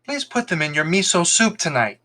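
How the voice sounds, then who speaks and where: A man voicing a polite, innocent fox shopkeeper in a folktale, with a gentle, courteous manner. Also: high-pitched, very animated, brisk, pitched above the performer's own voice, full character voice. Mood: polite, grateful.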